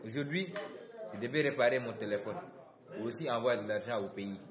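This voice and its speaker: An actor playing a busy, proud and brash young gold miner in a radio drama, talking in an animated, slangy way. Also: male voice, medium pitch, a little fast, reverberant, natural voice. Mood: hurried, matter-of-fact.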